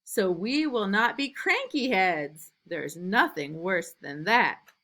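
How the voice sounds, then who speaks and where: A woman reading a rhyming storybook as an eager, imaginative little boy, in an emphatic sing-song with drawn-out line endings. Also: medium pitch, expressive, a bit bright, full character voice. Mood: eager, excited. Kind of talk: rhyming storybook read aloud.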